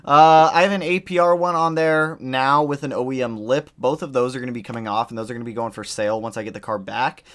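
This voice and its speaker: A man's voice: medium pitch, fairly even intonation, fast talker, hardly any pauses, fairly bright tone. A man hosting a casual livestream, chatting with viewers and rambling from one topic to the next. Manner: rambling, jumps between topics. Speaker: livestream host. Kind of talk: casual chat answering viewers.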